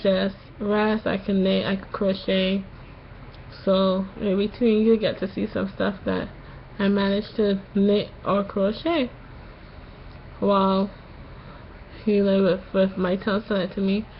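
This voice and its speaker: Slow-talking woman with a sore throat. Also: medium pitch, level tone, dull audio, slight background noise. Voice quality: slightly nasal. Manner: slow, halting, pauses often. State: sore throat after tonsil surgery. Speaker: vlogger. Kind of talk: casual vlog to camera.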